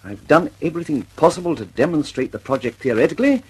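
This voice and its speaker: Stubborn British inventor, husky, swinging pitch. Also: male voice, expressive, fast talker, a little mellow, poor audio. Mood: weary, deflated. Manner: earnest, hesitant stammer, clipped and dogged. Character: stubborn, frustrated middle-aged male engineer and inventor. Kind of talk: mid-century British radio drama acting.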